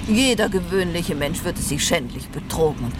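A woman's voice: fairly low pitch, lively intonation, moderate pace, noisy background, natural voice. A woman playing a composed, sympathetic companion in a German radio drama, speaking emphatically and articulately. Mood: earnest, emphatic.

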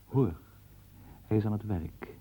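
A man narrating a historical radio program in a measured, ironic storytelling manner. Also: on the low side, crowd noise. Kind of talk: scripted documentary narration. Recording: tinny phone-like old broadcast.

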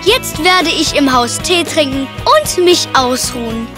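Woman voicing a high-pitched cocky little boy pig. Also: big pitch swings, unhurried, over music. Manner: boastful, breezy. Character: cocky, carefree young male pig, eldest of three brothers. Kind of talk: children's cartoon fairy-tale dialogue.

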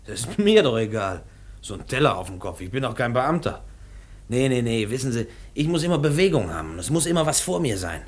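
An actor playing a brash, restless young railway trainee in a 1950s radio play, boastful and breezy. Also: male voice, medium pitch, sing-song, a little fast. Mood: cocky, restless. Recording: 1950s radio recording, slightly distant.

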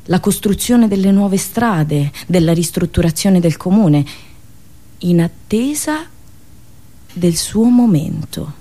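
A woman's voice: fairly low pitch, animated, slow, warm tone. A woman with a central Italian accent telling a satirical story as a theatrical, ironic radio monologue. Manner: theatrical, ironic, with dialect asides.

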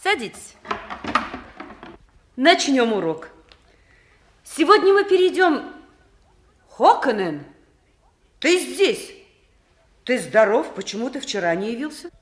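Echoey, stern, animated schoolteacher. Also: female voice, high-pitched, takes it slowly, pauses often, heavily acted. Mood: brisk, stern. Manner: scolding, commanding. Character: stern, impatient schoolteacher woman. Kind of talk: 1960s Soviet radio play acting.

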